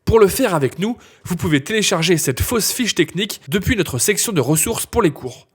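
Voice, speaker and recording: A man's voice: sits high, lively intonation, moderate pace, breathy edge. A man narrating a scripted tutorial as an instructor, explaining things step by step.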